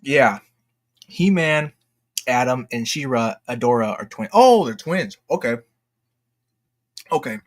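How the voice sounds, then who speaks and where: A man's voice: medium pitch, lively intonation, slow pace, long pauses. A man hosting a casual livestream, speaking in informal slang with a self-deprecating, jokey manner and often repeating himself as he goes. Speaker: livestream host.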